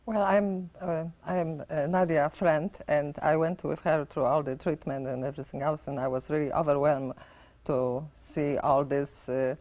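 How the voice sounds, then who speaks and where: Halting, hesitant woman guest. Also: low voice, fairly even intonation, a little mellow. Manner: hesitant, halting with many fillers. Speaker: guest, board member. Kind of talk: morning TV interview. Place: TV studio.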